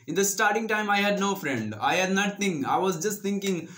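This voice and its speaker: A man's voice: sits high, animated, moderate pace, a bit bright. A young man in his twenties with an Indian accent and Hindi as his first language, earnestly giving English-learning tips straight to camera on a lapel mic. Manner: earnest, coaching, addresses viewers as friends. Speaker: English-learning channel host. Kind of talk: direct-to-camera advice talk. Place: small indoor room with green screen.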